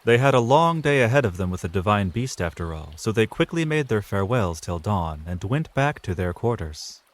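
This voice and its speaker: A man's voice: fairly low pitch, sing-song, moderate pace. A man reading scripted narration for a fantasy audio drama, in a storyteller's style.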